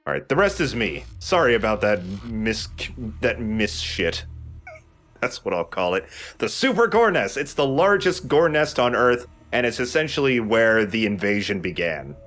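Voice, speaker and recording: A man's voice: mid-range voice, sing-song, moderate pace, fairly bright tone. A man giving casual commentary over video game footage as a let's play commentator.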